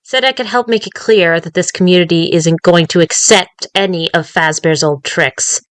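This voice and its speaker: Female actor as a guarded, grieving young woman; quiet, dry recording. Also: fairly low pitch, moderate pace, no echo, close to an everyday voice. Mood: wary.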